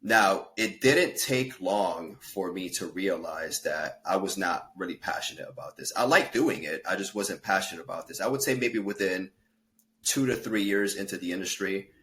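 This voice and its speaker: Deep-voiced man telling his story. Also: moderate pace, pushed and bright. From Peru. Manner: earnest storytelling with fillers and self-corrections. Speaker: guest, fitness and life coach. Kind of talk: casual podcast interview.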